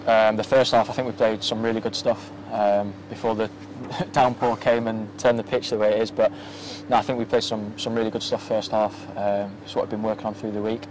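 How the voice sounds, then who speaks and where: Soft-spoken young footballer, low flat voice. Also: male voice, a little fast, hardly any pauses. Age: young adult. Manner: soft-spoken, many ums and restarts. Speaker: professional footballer. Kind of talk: post-match interview. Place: outdoors at a floodlit football ground at night. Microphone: handheld interview mic. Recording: outdoor ambience with faint noise.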